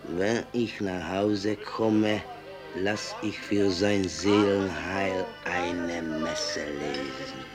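An actor playing a rough, hard-drinking, superstitious sailor in a German radio play, halting and then suddenly emphatic. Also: male voice, low voice, over music. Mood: earnest, persuading.

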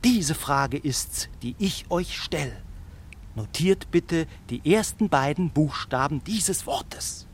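A man's voice: fairly high pitch, lively intonation, husky, heavily acted. A man playing a plucky but anxious little fairy-tale tailor with theatrical delivery in a children's radio play. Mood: reciting solemnly. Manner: theatrical, deliberate when reciting.

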